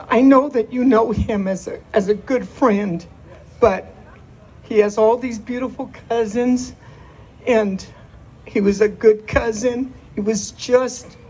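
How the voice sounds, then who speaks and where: A woman's voice: fairly high pitch, mellow, breathy edge, poor audio. A woman speaking at a memorial as the deceased's aunt, heartfelt and emotional, at times close to tears. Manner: heartfelt, tearful at times, with laughs. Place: memorial gathering.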